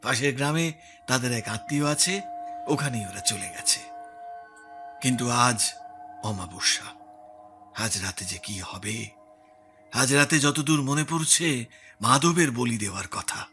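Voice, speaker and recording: A man performs a worried village headman in an audio drama, respectful and grave. His voice is forceful and bright at a middle pitch, over faint music. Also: measured pace, pauses often. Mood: grave, uneasy. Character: troubled, respectful village headman explaining grim events. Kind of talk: Bengali audio story acting.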